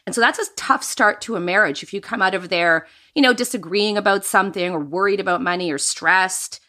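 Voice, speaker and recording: A woman co-hosts a show in a bright, crisp voice. She keeps the talk upbeat and conversational, leading the discussion with easy energy. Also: mid-range voice, brisk. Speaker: podcast co-host. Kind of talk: conversational co-hosting.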